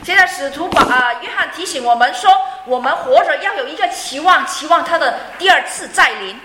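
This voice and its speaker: A woman's voice: sits high, animated, fairly quick, very noisy. A woman interpreting a sermon phrase by phrase, fluent but with frequent fillers and light laughs. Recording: echoey room.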